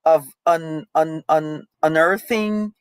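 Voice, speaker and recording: A middle-aged woman with a low voice moderates a panel and turns to the audience as she reflects. The sound is dry and close. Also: takes it slowly, clean tone, no room sound. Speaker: panel host. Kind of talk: hosting a panel discussion.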